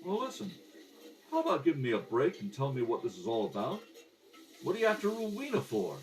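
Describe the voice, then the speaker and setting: A man playing a defensive, pleading murder suspect in a radio crime drama, protesting in rough slang. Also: mid-range voice, huge pitch swings, fast, a little mellow, pitched above the performer's own voice. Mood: irritated. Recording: slightly distant home microphone.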